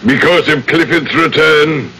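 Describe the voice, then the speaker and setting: Stern, haughty gentleman, old radio style, unhurried formal delivery with a hard forceful edge. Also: fairly high pitch. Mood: smooth, pompous. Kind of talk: old-time radio drama acting. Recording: noisy old radio recording.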